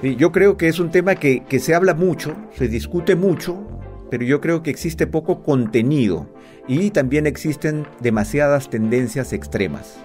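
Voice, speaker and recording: A man co-hosts a podcast, friendly and teasing, with hesitant fillers. His voice is fairly high, and faint music plays in the background. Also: expressive, normal speed, a little mellow. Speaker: podcast co-host. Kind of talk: casual podcast hosting.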